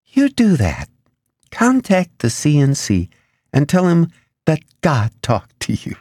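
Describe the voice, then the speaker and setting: Man putting on a higher-pitched, flighty, argumentative woman. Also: huge pitch swings, slow pace, a little mellow, no echo, clean studio sound, heavily acted. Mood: dismissive. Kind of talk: audiobook character dialogue.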